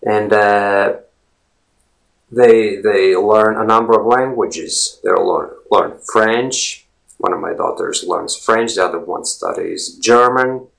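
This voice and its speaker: Latvian man in his forties, native Latvian, gravelly low voice, loose rambling talk, repeats himself. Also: slow, a bit bright, low-quality recording. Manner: rambling, filler-heavy, repeats words. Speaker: language blogger. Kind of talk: casual monologue.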